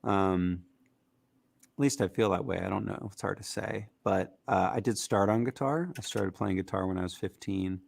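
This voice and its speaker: A man with a raspy, gritty voice, talking casually as a musician guest in an interview, rambling with fillers. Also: lowish voice, normal speed, dry recording. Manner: relaxed, rambling with fillers and restarts.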